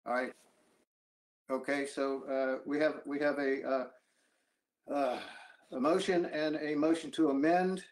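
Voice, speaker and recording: Halting chairman on a video call. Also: medium pitch, fairly even intonation. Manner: halting, hesitant. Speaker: council chairman running a meeting. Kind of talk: formal meeting procedure. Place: indoors at home or office on a video call.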